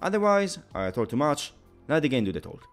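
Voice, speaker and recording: A man's voice: on the high side, big pitch swings, brisk, vocal fry, faint music behind. A man narrating a scripted video game review in an emphatic, opinionated way.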